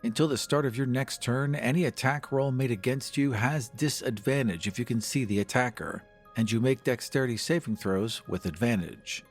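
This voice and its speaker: A man's voice: mid-range voice, moderate pace. A man playing a tabletop roleplaying game, casually describing what his characters do. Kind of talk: casual play-by-play of game actions. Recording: faint music behind. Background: background music.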